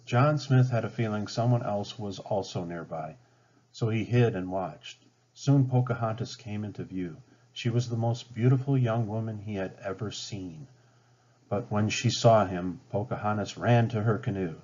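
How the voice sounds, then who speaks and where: A man's voice: fairly low pitch, normal speed, a little mellow. A man reading a children's story aloud as a storybook narrator. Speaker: storybook reader. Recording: dull lo-fi home recording.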